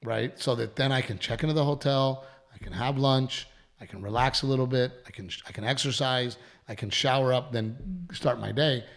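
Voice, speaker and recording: Gravelly-voiced man with a worn voice. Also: medium pitch, normal speed. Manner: rambling, repeats himself, swears casually. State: voice worn from allergies and shows. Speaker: comedian and podcast host. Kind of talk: casual podcast chat.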